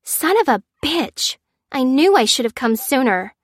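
Woman acting an urgent, high-pitched young woman. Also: very animated, a little slow, dry recording, clean studio sound, heavily acted. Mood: angry, urgent. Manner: urgent, pleading, exclaiming. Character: frightened, astonished young woman of twenty, a stranger.

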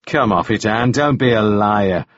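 A man voicing a suspicious, exasperated man who questions forcefully and scornfully in an audiobook. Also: on the low side, unhurried, no echo, dull audio, close to an everyday voice. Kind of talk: audiobook character acting.

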